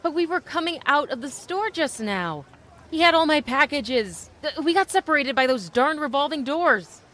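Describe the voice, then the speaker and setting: Woman playing a slightly high-voiced, brisk young mother. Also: animated, a bit bright. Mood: flustered. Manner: polite, teasing, brisk. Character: brisk, warm-hearted, good-humored young mother and shopper. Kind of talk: old-time radio romantic comedy acting.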